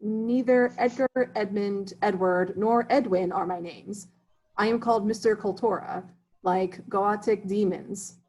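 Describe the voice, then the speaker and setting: A man's voice: sits high, fairly even intonation, a little slow, darkish tone, poor audio. A man reading the part of an erudite immigrant substitute teacher who speaks formally and drops his articles, in an amateur play reading. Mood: earnest.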